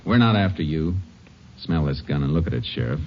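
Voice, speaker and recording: An actor playing a calm, shrewd Texas Ranger in a 1950s radio drama, speaking in a terse, authoritative, matter-of-fact way. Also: male voice, very deep voice, brisk. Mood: firm, probing. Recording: muffled, noisy old-time radio recording.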